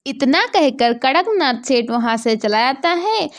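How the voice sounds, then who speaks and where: A woman's voice: high voice, animated, a little slow, heavy background noise. A woman narrating a scripted children's story.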